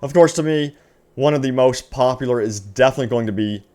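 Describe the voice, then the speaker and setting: A man's voice: medium pitch, fast, a little mellow. A man hosting a gaming news video in an upbeat, enthusiastic fan commentary style, stretching words for emphasis and addressing his viewers directly.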